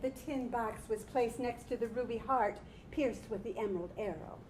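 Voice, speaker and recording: Woman reading story narration on stage, highish voice, echoey room. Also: moderate pace, darkish tone, slight background noise. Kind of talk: dramatized literary storytelling.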